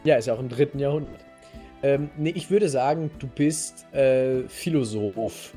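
A man hosting a podcast, telling a history story casually and playfully in a halting, slightly husky voice. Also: mid-range voice, mellow, soft background music. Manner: halting, playful storyteller addressing co-host directly. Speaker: podcast host.

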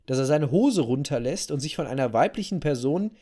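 A man's voice: mid-range voice, lively intonation, a little fast, warm tone. A man hosting a talk show, telling a story in a casual, conversational way and repeating phrases for emphasis. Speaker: podcast host telling a story.

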